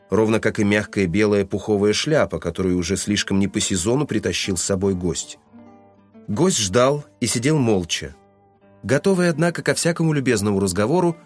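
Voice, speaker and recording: A man narrating a literary audio drama in a scripted, storytelling style. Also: fairly low pitch.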